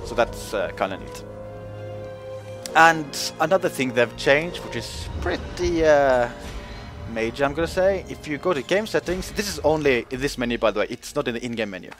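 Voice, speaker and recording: Male host, relaxed game-patch commentary, filler-heavy, playful pitch jumps, light music underneath. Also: moderate pace. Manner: chatty, many fillers, pitch jumps up when joking. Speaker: gaming video host.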